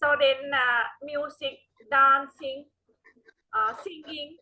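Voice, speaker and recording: A woman with a Sri Lankan accent giving an online university lecture, slow and explanatory with frequent fillers. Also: high-pitched, fairly even intonation, sharp tone.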